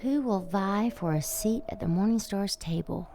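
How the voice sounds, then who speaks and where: A woman's voice: mid-range voice, normal speed, dark tone, a little hiss or hum, natural voice. An actress playing a rebellious, lustful female demon in an audio drama, sardonic and teasing, purring some words breathily. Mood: defiant.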